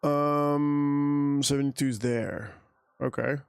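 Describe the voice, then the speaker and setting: Gravelly man with a South African-British mix accent. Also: on the high side, slow, dry recording, faint music behind. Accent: mixed South African and British. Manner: chatty, thinks aloud, laughs easily, answers chat. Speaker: game streamer. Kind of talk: casual live gameplay commentary.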